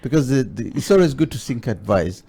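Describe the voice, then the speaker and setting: A man hosting a studio interview, talking haltingly with many fillers and restarts. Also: medium pitch, lively intonation, vocal fry, warm tone, slight background noise. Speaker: talk show host. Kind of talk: hesitant studio interview chat.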